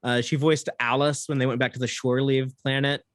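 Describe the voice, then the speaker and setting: Mid-pitched man chatting, very dry sound. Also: little pitch movement, fairly quick, dry recording. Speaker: podcast guest. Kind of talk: casual fan discussion.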